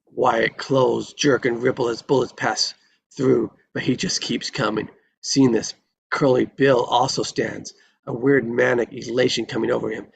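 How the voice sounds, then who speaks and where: A man's voice: medium pitch, little pitch movement, a little slow, creaky, slight background noise, low-quality recording. A man reading screenplay stage directions in a dramatic narrating style at a table read.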